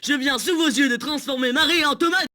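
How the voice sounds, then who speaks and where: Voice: sits high, fairly quick, pushed and bright. An actor voicing a cocky, mischievous schoolchild showing off as a magician in a children's cartoon. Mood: boastful, teasing. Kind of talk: animated children's cartoon acting. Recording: music behind the voice.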